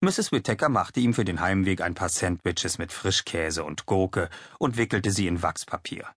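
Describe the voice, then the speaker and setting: A man's voice: sits low, expressive, normal speed, husky, dry recording, studio quality. A man in his forties reading scripted literary narration as an audiobook narrator. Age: 40s.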